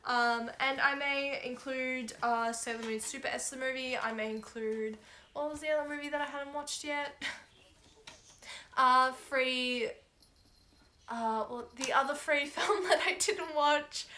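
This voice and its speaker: Bright-voiced young woman, hoarse and chatty. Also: high voice, fairly even intonation, unhurried. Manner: chatty, rambling, laughs often. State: hoarse, has lost her voice. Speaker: anime reviewer. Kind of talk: casual video review. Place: bedroom at home.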